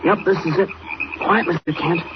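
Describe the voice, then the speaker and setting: An actor playing an eager, excitable boy sidekick with an American accent in a 1940s radio serial, boyish and wide-eyed. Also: high voice, moderate pace, close to an everyday voice. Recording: muffled, very noisy old radio recording.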